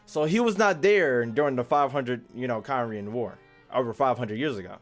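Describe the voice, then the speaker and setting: A young man with a medium-pitched voice gives loose livestream commentary. He is informal, slangy and rambling. Quiet game music plays behind him. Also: moderate pace. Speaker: game streamer. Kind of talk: casual livestream chat and commentary.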